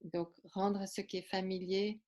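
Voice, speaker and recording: A woman's voice: medium pitch, little pitch movement, unhurried, dark tone. A woman giving consecutive interpretation, hesitant with many fillers and restarts.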